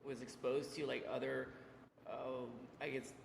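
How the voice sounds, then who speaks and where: Man, 40s, from Vietnam, halting with fillers, echoey room, long reverb. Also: mid-range voice, little pitch movement, creaky, slight background noise. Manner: halting, many fillers and restarts. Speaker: interview guest, business owner. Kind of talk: casual interview storytelling.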